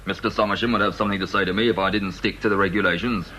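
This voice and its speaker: An actor playing a respectful, by-the-book security porter in a radio drama, deferential but firm. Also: male voice, lowish voice, steady tone, very fast, pushed and bright, poor audio. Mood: polite, firm. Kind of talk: British radio drama acting. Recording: old radio recording with faint noise.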